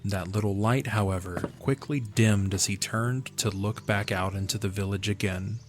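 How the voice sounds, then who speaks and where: A man's voice: fairly low pitch, moderate pace. A man reading scripted story narration for an audio drama, in the manner of an audiobook. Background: background music.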